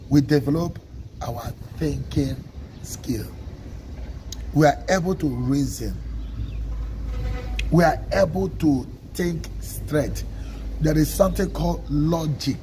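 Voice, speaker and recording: Igbo-speaking Nigerian man, livestream teacher; measured and emphatic; traffic clearly audible behind him. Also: on the high side, warm tone, poor audio. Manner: measured, stresses single words, asks listeners "okay?". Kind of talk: slow, deliberate teaching talk.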